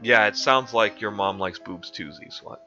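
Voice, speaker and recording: A man's voice: lowish voice, a little slow, sharp tone, lo-fi sound, soft background music. A man streaming a mock-dramatic fanfic reading, often breaking into giggles.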